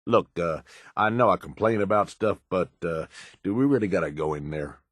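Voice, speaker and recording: An actor playing a gruff, cynical middle-aged American raider lieutenant in a video game, blunt and folksy with a drawl. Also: male voice, fairly bright tone, no room sound, clean studio sound. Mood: skeptical. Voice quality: low, gruff. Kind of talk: video game companion voice lines.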